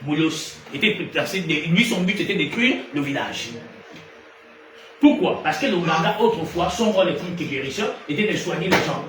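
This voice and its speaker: A man preaching a sermon in an animated way, rising to loud emphatic peaks and then dropping low. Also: moderate pace. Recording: echoey room from far off, noisy ambience.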